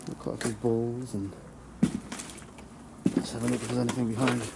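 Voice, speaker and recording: A man's voice: medium pitch, little pitch movement, moderate pace, creaky. A young man with an English accent narrating his walk through an abandoned building, joking crudely and swearing often. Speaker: urban explorer vlogger. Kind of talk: casual exploring commentary. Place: dark abandoned burnt-out building, indoors. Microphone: handheld camera. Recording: noisy handheld camera audio.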